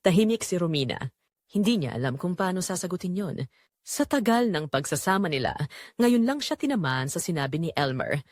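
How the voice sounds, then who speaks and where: A woman's voice: lowish voice, big pitch swings, brisk, a little mellow, no echo, studio quality. A woman with a Filipino accent narrating a scripted dramatic story.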